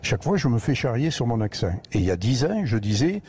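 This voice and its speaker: A man's voice: medium pitch, big pitch swings, fast, a little mellow, slightly rough. A man with a Lozère accent, an agricultural educator telling rambling anecdotes as a guest in a live radio interview broadcast from a museum. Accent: Lozère, southern France.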